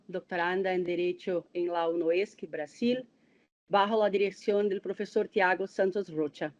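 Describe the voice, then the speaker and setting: A woman's voice: medium pitch, a little mellow, highs cut off. A woman with a Brazilian Portuguese accent, a doctoral candidate, carefully reading a prepared academic presentation in a non-native language, with small hesitations.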